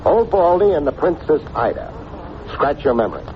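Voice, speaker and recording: A man's voice: on the high side, very animated, normal speed. An American man playing a blunt, sardonic private detective in an old-time radio drama, tough-talking and wisecracking. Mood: brisk, probing. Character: hardboiled, sardonic, pushy male private detective. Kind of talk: old-time radio detective acting with first-person narration. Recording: noisy, muffled vintage radio recording.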